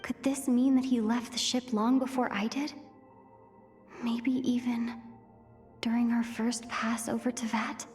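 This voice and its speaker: A woman's voice: a little high, level tone, a little slow, darkish tone, lightly acted. A woman voicing an earnest, thoughtful young female traveler in a video game cutscene, with a sincere, pensive delivery. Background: background music.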